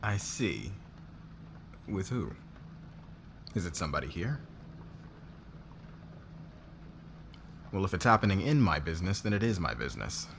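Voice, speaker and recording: A demanding male boss played by a man in an intimate ASMR roleplay, speaking in a low register over noticeable background noise. He is commanding and possessive, flirting smugly while keeping his guard up. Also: sing-song, normal speed, vocal fry, heavily acted. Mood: jealous, probing. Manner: commanding, smug, intimate, swearing.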